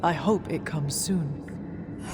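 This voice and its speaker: Resolute warrior heroine: lowish, formal, guarded, with clear music behind. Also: female voice, very slow. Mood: stern. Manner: formal, without contractions. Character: stern, guarded warrior woman, a resolute hero. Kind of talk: restrained video game acting. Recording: voice under clear background music.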